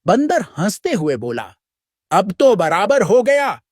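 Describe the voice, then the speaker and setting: A man narrating a children's animal fable in a deliberate storytelling manner. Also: sits high, wildly swooping pitch, a little mellow, dry recording, studio quality.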